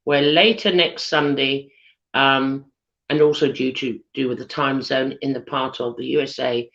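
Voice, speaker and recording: A British woman hosting a live chat show on a video call, husky from losing her voice, chatty with lots of ums. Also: extremely low pitch, fairly even intonation, unhurried, fairly bright tone. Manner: chatty, giggly, many ums. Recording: dull lo-fi video call audio.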